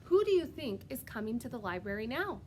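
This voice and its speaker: A woman reading a storybook aloud as a preschool teacher, expressive and sing-song, pausing to put questions directly to young children. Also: fairly high pitch, slight background noise.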